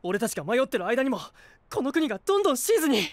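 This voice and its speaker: A man's voice: very high-pitched, big pitch swings, rapid-fire, no echo. An actor playing an earnest, driven young male knight in an anime voice drama. He talks bluntly and impatiently. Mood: troubled.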